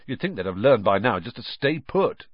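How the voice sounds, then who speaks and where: A man's voice: medium pitch, big pitch swings, moderate pace, breathy edge, dry recording, highs cut off. A man voicing breezy, eager teenage brothers in a cheeky, matter-of-fact way.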